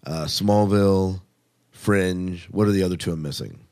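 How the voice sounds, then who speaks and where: A man's voice: sits low, normal speed, dark tone. A man hosting a podcast, chatting casually about television in an informal, rambling, opinionated way. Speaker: podcast host. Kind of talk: casual TV review chat.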